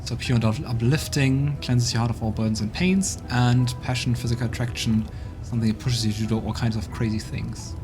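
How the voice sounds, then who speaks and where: A man's voice: medium pitch, fairly even intonation, fairly quick. Man musing over a game. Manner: musing, thinking aloud. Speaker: let's play streamer. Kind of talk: casual game commentary and narration. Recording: music in the background.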